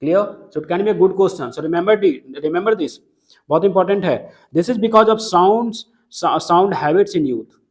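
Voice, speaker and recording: Slow-talking teacher explaining a poem. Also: male voice, high voice. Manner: mixes Hindi and English, checks understanding, repeats key lines. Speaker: literature teacher. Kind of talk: classroom lecture explaining a poem line by line.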